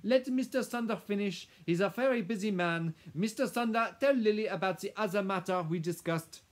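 Storybook villainess: imperious Frenchwoman acted by a man, raised pitch, French accent, snappish and condescending. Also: moderate pace, lightly acted. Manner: haughty, curt, cutting. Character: imperious, impatient French housekeeper guardian woman.

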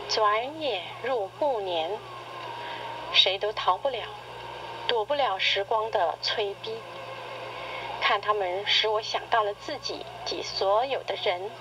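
A middle-aged woman reading a personal essay in radio drama style, with measured, literary, expressive delivery. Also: medium pitch. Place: played back from a cassette recorder speaker. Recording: noisy cassette tape played through a recorder.

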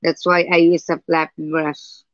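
A woman with a husky voice hosting a live painting tutorial, talking slowly and hesitantly with many fillers and restarts. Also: low voice, level tone, no room sound. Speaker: art livestream host. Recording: dull lo-fi livestream audio.

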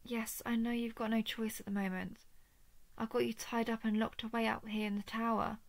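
A woman playing a lovestruck female dragon, possessive yet tender and remorseful, speaking softly and hesitantly with breathy whispers in an intimate roleplay audio. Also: on the high side, little pitch movement, moderate pace, dry recording. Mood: pleading, confessional. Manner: soft, hesitant, often dropping into breathy whispers.

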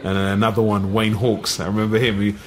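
A middle-aged man with an East London accent, telling lively stories as a podcast guest, full of "you know what I mean". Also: lowish voice, fast, slight background noise. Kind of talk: casual storytelling.

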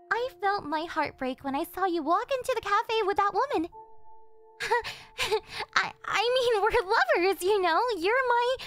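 A woman's voice: very high-pitched, a bit bright, heavily acted. A woman playing an obsessive, possessive young yandere girlfriend in an ASMR roleplay, with a cloying, coaxing manner that carries a sweet menace. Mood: wounded, feigned sweetness. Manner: cloying, coaxing, with breathy giggles and gasps.

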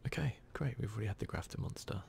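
Hushed, low-voiced man with gravelly creak. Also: racing. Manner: hushed, often dropping into whispers. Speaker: gaming video host. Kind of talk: ASMR gameplay commentary.

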